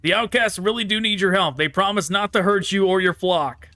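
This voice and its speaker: Male let's-play host, slightly high bright voice, casual running commentary. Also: fairly quick, no room sound, clean studio sound. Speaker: gaming video host. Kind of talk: casual game playthrough commentary, reading dialogue choices aloud.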